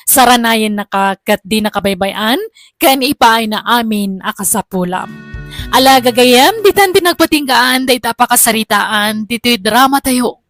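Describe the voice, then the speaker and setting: High-voiced Ilocano woman presenter over music. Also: a bit bright. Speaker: drama presenter. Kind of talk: scripted radio sign-off.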